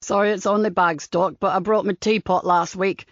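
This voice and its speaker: A woman voicing a chatty, kindly old countryman gardener with a broad Lincolnshire dialect, slow and lower than her own voice. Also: fairly even intonation, dry recording, lightly acted. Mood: folksy. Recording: slightly dull dry recording.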